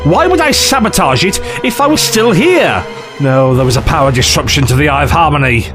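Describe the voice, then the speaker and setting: High, swooping, flippant trickster played by a man. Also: lively intonation, fairly quick, music in the background, close to an everyday voice. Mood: flippant, urgent. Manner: flippant, theatrical, chatty, condescending. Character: glib, eccentric, cheeky alien time-travelling trickster. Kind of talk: audio drama acting.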